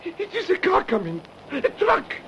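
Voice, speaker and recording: A woman's voice: extremely high pitch, huge pitch swings, a little slow, full character voice. An actress playing a frightened, pleading woman in a radio drama. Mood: panicked, pleading. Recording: muffled, noisy old radio drama.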